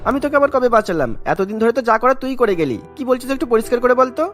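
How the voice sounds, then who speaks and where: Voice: medium pitch, animated, racing, soft background music, close to an everyday voice. A performer voicing a devoted younger brother, a boy in a children's cartoon, earnest and questioning. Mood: puzzled.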